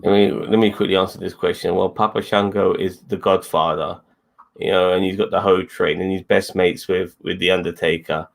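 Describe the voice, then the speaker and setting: A man with a fairly low pitch and plenty of fry in his voice talks casually as a co-host. He rambles, repeats his phrases and drops swear words as he goes. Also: little pitch movement, normal speed. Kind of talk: casual wrestling review chat.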